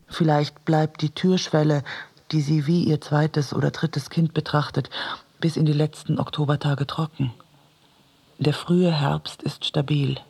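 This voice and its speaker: A man with a husky, gravelly voice reading literary prose as a narrator, measured and contemplative. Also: a little high, darkish tone.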